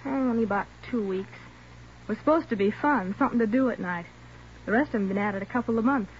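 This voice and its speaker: An actress playing a defiant, brash nineteen-year-old gang suspect, sharp-tongued and blaming others, in a 1940s radio drama. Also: female voice, medium pitch, fast talker, lightly acted. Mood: sullen confessing. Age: young adult. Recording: noisy, muffled 1940s radio recording.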